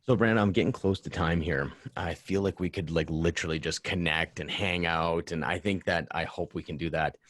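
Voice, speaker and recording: A man's voice: low voice, fairly quick, few pauses, fairly bright tone, no echo. A man hosting a casual interview in an enthusiastic, affirming way. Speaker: podcast host.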